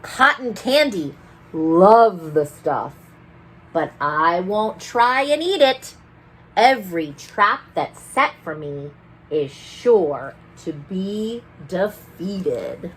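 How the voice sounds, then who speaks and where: A woman's voice: lowish voice, expressive, extremely slow, husky, exaggerated voice, poor audio. A woman voicing a mischievous, boastful tooth fairy who tells her adventures in storybook rhyme. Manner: mischievous, theatrical. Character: sneaky, gleeful, boastful female tooth fairy narrating in rhyme. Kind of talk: rhyming storybook read-aloud.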